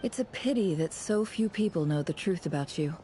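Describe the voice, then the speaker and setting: A woman voicing a composed, courteous, quietly teasing noblewoman in a video game, speaking in a polite, measured, knowing way. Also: fairly low pitch, expressive, slight background noise, close to an everyday voice. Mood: sincere.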